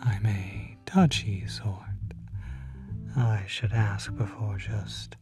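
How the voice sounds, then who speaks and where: A performer voicing a teasing, smooth-talking demon in an audio roleplay, murmuring slowly and condescendingly to the listener. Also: medium pitch, distant mic. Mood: teasing, coaxing. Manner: slow, drawn-out, breathy murmuring, teasing.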